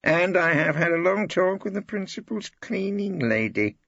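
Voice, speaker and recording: Man as a grating, high, sly academic lawyer. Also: big pitch swings, normal speed, creaky, a bit bright, dry recording, highs cut off, full character voice. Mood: triumphant slander. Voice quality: grating, like tearing metal. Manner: conspiratorial. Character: sly, ghoulish, slanderous older male law tutor. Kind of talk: audiobook character acting.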